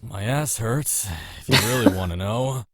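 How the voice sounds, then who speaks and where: A man's voice: fairly low pitch, moderate pace, very noisy, natural voice. An actor playing a blunt, teasing male lover in a visual novel, with dry delivery. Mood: wry.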